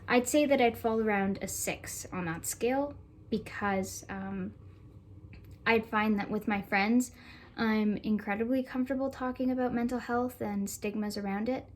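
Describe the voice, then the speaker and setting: A woman's voice: a little high, moderate pace. A seventeen-year-old girl from Canada giving composed, articulate interview answers. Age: teens. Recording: faint background noise.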